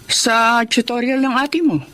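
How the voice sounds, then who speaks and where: A woman's voice: on the high side, fairly even intonation, measured pace, fairly bright tone. A woman playing a stern, scolding, anxious middle-aged mother in a Tagalog radio drama. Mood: stern, suspicious.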